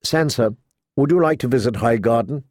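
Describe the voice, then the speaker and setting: A man's voice: medium pitch, moderate pace, a little mellow, no room sound, studio quality, close to an everyday voice. A man voicing a gracious, coaxing young noblewoman in an audiobook, with a courtly, inviting manner.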